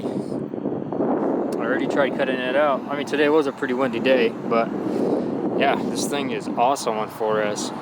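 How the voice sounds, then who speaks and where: A man's voice: mid-range voice, low-quality recording. Easygoing young man chatting outdoors. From Ukraine. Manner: laid-back, chatty, loose phrasing with "dude" and "like". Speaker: RC car channel host. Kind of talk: casual vlog chat. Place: outdoors, windy day.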